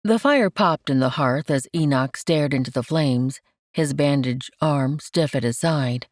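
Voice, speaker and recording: A woman narrating romance fiction from a script, in a measured and gentle manner. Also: a bass voice, no echo, studio quality. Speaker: audiobook narrator.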